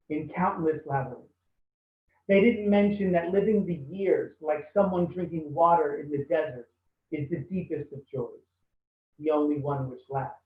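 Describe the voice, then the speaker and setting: A man's voice: on the high side, recorded from a distance. A man reads poems aloud over a video call. The audio is tinny and phone-like. He sits some way from the mic in an echoing room. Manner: quick spoken asides, then measured reading. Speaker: poet reading. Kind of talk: poetry reading on a video call. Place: home, kitchen or similar room.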